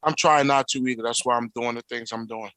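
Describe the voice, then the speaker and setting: A man with a Philadelphia accent, co-hosting a podcast in casual chat, an animated storyteller who laughs often. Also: medium pitch, a little fast, no room sound, clean studio sound. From Philadelphia area. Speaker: podcast co-host.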